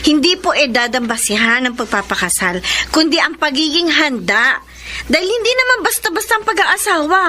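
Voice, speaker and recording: A woman acting a spirited, dutiful young Filipino student in a radio drama, expressive, firm but respectful. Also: high voice, moderate pace, a little hiss or hum, full character voice. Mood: protesting, pleading. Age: young adult.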